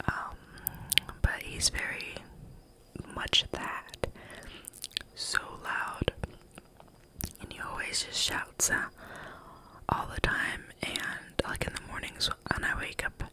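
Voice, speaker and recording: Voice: sharp tone, low-quality recording. A young adult whispering a rambling story in a casual way, full of fillers like "like" and "um". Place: staying on a chocolate farm in Hawaii.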